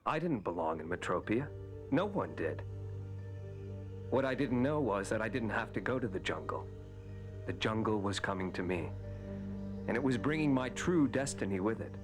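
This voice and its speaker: A man voicing an earnest, idealistic eighteen-year-old student hero in an animated series. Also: on the low side, normal speed, pauses often, close to an everyday voice. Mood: reflective. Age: young adult. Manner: earnest, serious. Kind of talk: animated series dialogue and narration. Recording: music behind the voice.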